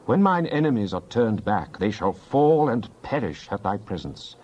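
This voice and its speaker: A fervent psalmist, slightly dull recording. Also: male voice, on the low side, sing-song, moderate pace, darkish tone. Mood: reverent praise. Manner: solemn, declamatory, archaic diction. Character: fervent psalmist pleading with and praising God. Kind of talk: dramatized King James Bible reading.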